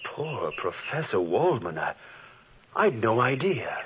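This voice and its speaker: An actor playing a haunted, guilt-ridden scientist in melodramatic 1940s radio drama style. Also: male voice, mid-range voice, sing-song, slow, a bit bright, slight background noise. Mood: evasive, weary. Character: haunted, guilt-ridden scientist telling his own tale, tense and evasive. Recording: muffled 1940s radio recording.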